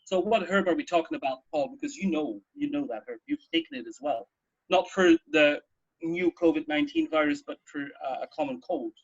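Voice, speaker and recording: A man's voice: a little high, sing-song, brisk, vocal fry, slightly rough. A man co-hosting a radio show from home in a casual, chatty, explanatory way, often checking in with a "right" or "yeah". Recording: dull, lo-fi home recording.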